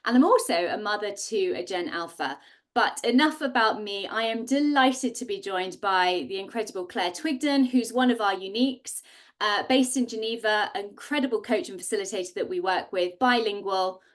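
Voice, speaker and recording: A woman's voice: mid-range voice, moderate pace. A woman in her forties hosting and presenting a live online masterclass over a video call. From Singapore. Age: 40s. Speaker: host and co-founder presenting a masterclass.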